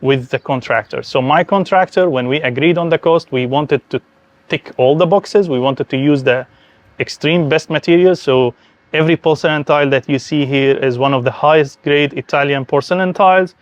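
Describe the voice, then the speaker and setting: A man's voice: a little high, normal speed. A man from Syria with a Syrian Arabic accent speaks enthusiastically and conversationally, explaining things as host of an informal garden and villa tour.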